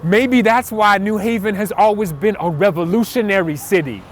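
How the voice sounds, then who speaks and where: A man's voice: sits high, moderate pace. A man reciting spoken word poetry in a rhythmic, dramatic, emphatic way. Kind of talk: performed slam poetry recital. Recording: outdoor recording with clear traffic noise.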